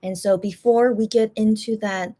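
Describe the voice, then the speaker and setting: A woman's voice: mid-range voice, steady tone, normal speed, mellow. A woman vlogger chatting casually to her viewers, often repeating phrases for emphasis.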